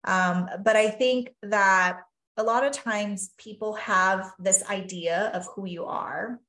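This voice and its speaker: Slow, earnest woman from Taiwan. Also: medium pitch, fairly even intonation, a bit bright, reverberant. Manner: earnest, thoughtful, many "you know" and "really". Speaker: guest, physician and life coach. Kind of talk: reflective podcast conversation.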